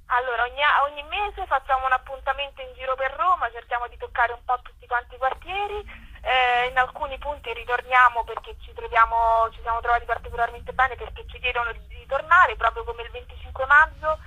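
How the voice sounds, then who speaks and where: A woman speaks as an interview guest. Her voice sits fairly high and is strikingly bright, with a raspy grain. She explains things in a conversational way, as an organiser presenting a project. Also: brisk, muffled recording.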